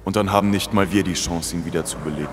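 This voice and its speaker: A man's voice: deep voice, steady tone, fast, noisy background, lightly acted. A man playing a grave, powerful archmage in an audio drama, speaking solemnly and ominously. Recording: reverberant hall, music behind.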